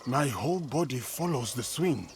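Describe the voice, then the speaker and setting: An actor playing an earnest, humble samurai warrior in a video game, with formal and solemn phrasing. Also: male voice, mid-range voice, animated, normal speed, close to an everyday voice. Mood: solemn, thoughtful. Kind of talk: dramatic video game acting.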